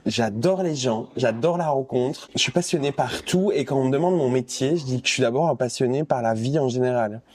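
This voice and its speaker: A man's voice: mid-range voice, brisk, few pauses, darkish tone. A man from the Liège area of Belgium, a guest on a podcast, talking with enthusiasm and often restarting with "euh". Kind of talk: candid interview conversation.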